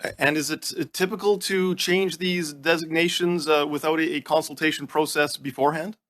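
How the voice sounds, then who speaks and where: Bright-voiced man questioning officials formally. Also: on the high side, fairly even intonation, fairly quick. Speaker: committee member questioning officials. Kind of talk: formal questioning, partly read from notes.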